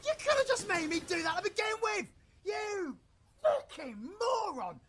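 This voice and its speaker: A man acts out a British supervillain with a short fuse and a filthy mouth. His pitch leaps around as he rants with sarcastic exasperation, in the manner of a comic audio drama. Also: sing-song, moderate pace, a bit bright, exaggerated voice. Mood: furious. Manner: ranting, sweary, exasperated. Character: foul-mouthed, hot-tempered, sarcastic male supervillain. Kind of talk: comic audio roleplay acting.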